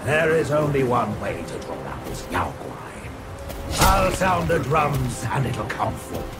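An actor playing a proud, commanding rat king in a video game, with formal, deliberate, theatrical delivery. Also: mid-range voice, sing-song. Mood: resolute. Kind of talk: video game character acting. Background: music.

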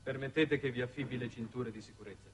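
A man's voice: mid-range voice, quick pace, close to an everyday voice. Formal spaceship doctor played by a man, noisy recording. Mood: courteous. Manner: formal, courteous, precise diction. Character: formal, deferential male doctor aboard a spaceship. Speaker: actor. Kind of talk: 1960s Italian television drama acting. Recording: noisy old 1960s television soundtrack.

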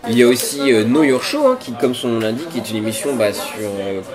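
A man's voice: medium pitch, lively intonation, fast. Young man chatting over music. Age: 20s. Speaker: web show co-host. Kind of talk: casual scripted chat to camera. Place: busy diner booth, indoors.

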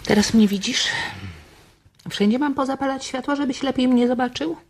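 A woman's voice: sits high, moderate pace, slight background noise. An actress playing a curt, irritated, lonely woman in a radio drama. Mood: irritated, impatient. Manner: sharp, impatient.

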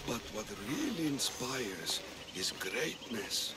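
An actor playing a feeble, fearful elderly temple priest in a video game, hesitant and reverent, speaking like an old storyteller. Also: male voice, medium pitch, sing-song, takes it slowly. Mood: storytelling, opinionated. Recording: music behind the voice.

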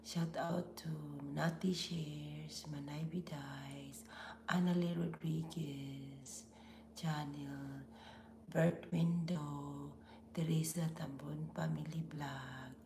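A middle-aged woman with a Filipino accent, hoarse from laryngitis, hosting a casual live stream from home with slow, half-whispered greetings. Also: deep voice, fairly even intonation, darkish tone, echoey room, slight background noise. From Philippines. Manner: breathy, half-whispered, slow with frequent pauses and throat clearing. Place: small home office room indoors.

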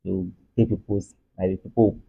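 Ghanaian-accented male guest, low and slightly husky, halting conversational answers, thin phone-like audio. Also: little pitch movement. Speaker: carpenter, interview guest. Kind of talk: casual interview answers. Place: furnished room, seated in armchairs. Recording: thin, muffled phone-like sound.

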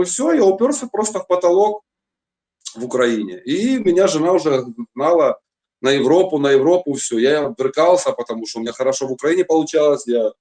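A man's voice: on the high side, a little mellow. Middle-aged guy from donetsk rambling thru his story on a video chat, lots of fillers. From Donetsk, Ukraine. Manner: rambling, full of fillers and restarts. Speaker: chat roulette guest. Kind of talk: long personal storytelling. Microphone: webcam video call.

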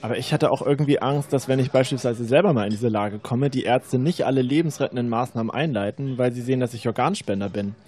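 Man acting Sceptical young male student for educational radio play; quick, mellow, questioning. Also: medium pitch, lightly acted. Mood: sceptical, uneasy. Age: young adult. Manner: questioning, rehearsed.

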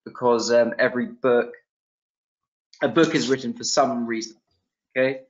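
A man's voice: medium pitch, steady tone, normal speed. Young guy running a webinar over a video call, lots of ums and restarts, kinda muffled dull audio. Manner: loose, thinking aloud with many ums and restarts. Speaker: webinar presenter. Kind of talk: online teaching webinar. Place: small room indoors.

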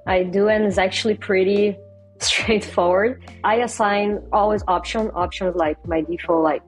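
A woman's voice: medium pitch, steady tone, normal speed, faint music behind, poor audio. A young woman with a Brazilian accent and native Portuguese, explaining things casually as an interview guest on a video call. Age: young adult. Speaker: interview guest, software designer. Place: small home bedroom, indoors.

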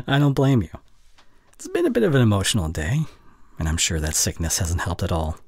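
A young man playing an anxious, caring boyfriend in an intimate ASMR roleplay, speaking softly and hesitantly close to the mic. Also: low voice, huge pitch swings, normal speed, dry recording, exaggerated voice. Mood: concerned, loving. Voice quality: soft, breathy. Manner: gentle, hesitant, murmuring reassurance. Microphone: close microphone.

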